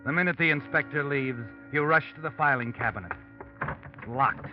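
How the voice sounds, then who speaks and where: A man's voice: a little high, moderate pace, lightly acted. A man playing a taunting, all-knowing American narrator in 1940s radio noir style. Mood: taunting, urgent. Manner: taunting, addresses the character as you. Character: sinister all-knowing male narrator watching from the shadows. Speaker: narrator of a mystery drama. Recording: muffled old radio, loud music behind.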